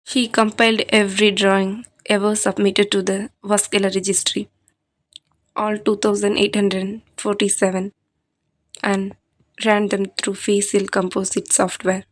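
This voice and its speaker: Even-toned woman narrating horror. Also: medium pitch, unhurried. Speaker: horror podcast storyteller. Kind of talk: scripted horror story narration.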